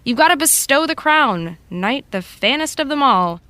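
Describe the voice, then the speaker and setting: A no-nonsense woman assistant, wry and bossy in a naturalistic audio drama. Her voice is bright and forceful and on the high side, recorded very dry. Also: animated, moderate pace, no echo. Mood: firm teasing. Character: brisk, wry, no-nonsense female TV showrunner's assistant.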